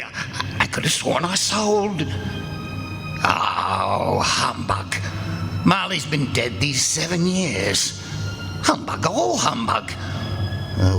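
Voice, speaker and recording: A man playing a miserly, irritable old businessman, gruff and scornful, in live radio theatre. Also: mid-range voice, exaggerated voice. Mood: uneasy, muttering. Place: stage before a live audience. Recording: live stage recording, faint background noise.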